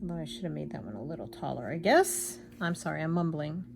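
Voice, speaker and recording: An older woman chatting casually and rambling as she embroiders at home, self-deprecating, with a loud projecting voice. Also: low-pitched, expressive, quick pace, vocal fry, noisy background. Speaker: craft vlogger. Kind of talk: casual chat while embroidering.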